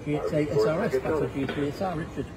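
A man's voice: a little high, quick pace, a loud crowd. A middle-aged man chats courteously over amateur radio, switching into formal call signs as he operates an event station. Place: indoors by a window at an event. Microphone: bystander's camera near the operator, who holds a hand microphone. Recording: clear background noise, busy event room.

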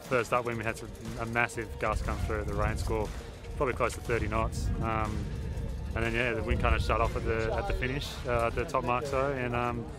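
A young man in his twenties answering interview questions outdoors by a harbour, relaxed and full of fillers. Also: mid-range voice, brisk, music in the background. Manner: relaxed, many fillers, flat delivery. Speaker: athlete interviewee.

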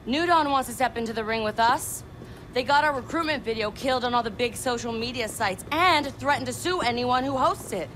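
A defiant young hacker in a video game, with a somewhat high voice. She is blunt, foul-mouthed and determined, with clear ambient noise around her. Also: lively intonation, a little fast, a bit bright. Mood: indignant. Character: brash, defiant young woman hacker activist. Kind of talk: video game cutscene acting. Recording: noisy ambient game scene.

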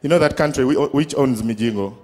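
A middle-aged man with a Ugandan accent doing a loud, playful stand-up set on a big studio stage with a handheld mic. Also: mid-range voice, a little fast, heavy background noise. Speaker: stand-up comedian. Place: large studio stage with live audience. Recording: live stage sound with crowd and music. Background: audience laughter and music.

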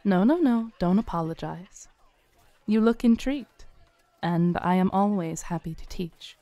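A young woman playing a fortune teller at a fair in a scripted roleplay audio, with a smooth voice and a soft, coaxing, gently teasing manner, close to the mic. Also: medium pitch, animated, a little slow, no room sound.

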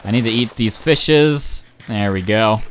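A young man giving casual, rambling gameplay commentary as a channel host, excitable and swearing often, his voice going hoarse. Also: fairly low pitch, measured pace, a little hiss or hum. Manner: rambling, excitable, swears, many ums and uhs.

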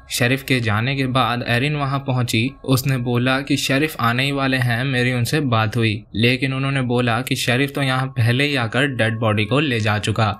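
A man's voice: medium pitch, fairly bright tone. A man narrating a scripted film recap in a colloquial, casual storytelling way with slangy asides.

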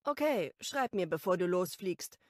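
A woman's voice: lowish voice, expressive, a little slow, no echo, clean studio sound. A woman voicing a protective big sister. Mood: caring. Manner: gentle, motherly delivery. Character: caring, protective older sister, young woman. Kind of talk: audiobook dialogue reading.

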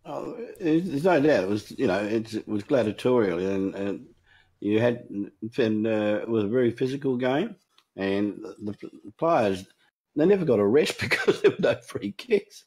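A man's voice: medium pitch, animated, moderate pace, a little mellow, slightly rough. An Australian man talking sport in a casual chat, emphatic, with frequent restarts. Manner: emphatic, restarts often, chuckles while talking.

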